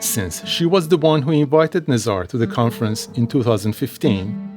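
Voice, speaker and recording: A male narrator delivers scripted documentary narration at a middling pitch. Faint music plays behind his voice as he reads. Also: a little mellow.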